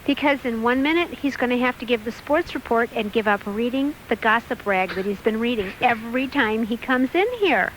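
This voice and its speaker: A woman's voice: on the high side, brisk, hardly any pauses, a bit bright, slight background noise, low-quality recording. A woman co-hosting on radio with casual banter. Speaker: radio co-host.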